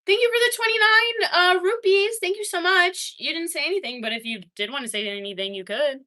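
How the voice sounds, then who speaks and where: Bright-voiced young woman chatting on stream. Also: very high-pitched, a little fast. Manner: chatty, laughs often, swings between excited and quiet. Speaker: livestreamer reading chat. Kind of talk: casual livestream chat.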